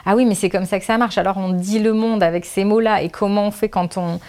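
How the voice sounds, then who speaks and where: A woman's voice: medium pitch, steady tone, fast talker, darkish tone. A woman author answering interview questions, thinking aloud with many restarts, fillers and trailing phrases. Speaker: author, guest. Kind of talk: casual spoken interview answers.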